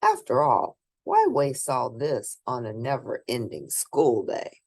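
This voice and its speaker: A woman with a low-pitched voice reads a children's story aloud. Her delivery is slow and very animated, swinging up and down in pitch, with theatrical stress on key words for young listeners. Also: slightly rough, no room sound, clean studio sound. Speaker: storybook reader. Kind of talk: expressive read-aloud of a children's picture book.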